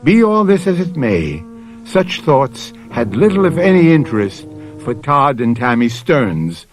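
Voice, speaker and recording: A man's voice: mid-range voice, sing-song, faint music behind. An American man giving theatrical, ominous scripted narration as the host of a vintage radio mystery series. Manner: dramatic, measured, ominous rhetorical phrasing.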